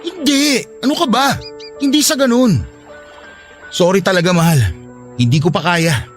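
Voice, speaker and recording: A man's voice: high voice, normal speed, faint music behind, natural voice. An actor with a Filipino accent playing a devoted but reluctant husband, earnest as he talks with his wife in a radio drama. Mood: apologetic, torn. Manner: protesting, earnest.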